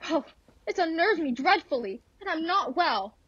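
A woman's voice: very high-pitched, expressive, moderate pace, fairly bright tone, dry recording. A woman playing a nervous, imperious married invalid in a radio thriller, insistent and easily exasperated. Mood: agitated, pleading. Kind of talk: classic radio thriller acting. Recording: dull, lo-fi dry audio.

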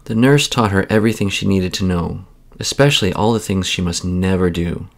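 A man reads a children's bedtime story aloud. His voice is creaky, and his delivery is measured and expressive. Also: low-pitched.

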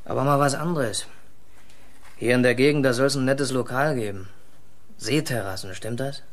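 An actor playing a casual, flirtatious, slightly evasive newcomer in a German radio drama. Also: male voice, mid-range voice, moderate pace, close to an everyday voice. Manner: offhand, flirtatious.

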